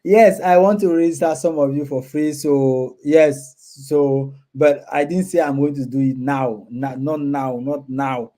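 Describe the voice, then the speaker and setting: A man's voice: on the high side, fairly even intonation, a little slow, few pauses, mellow. A man hosting a casual livestream, talking to viewers and often repeating his words and phrases. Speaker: live stream host. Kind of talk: casual livestream chat, reading and answering viewer comments.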